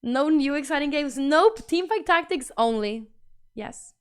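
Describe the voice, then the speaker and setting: A young Swedish-accented woman streaming from home, chatting loosely with her viewers. Her voice sits a little on the high side, and she repeats phrases as she reacts to chat. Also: lively intonation, unhurried. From Sweden. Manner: chatty, bubbly, repeats herself while reading chat. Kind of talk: casual livestream chat reading messages.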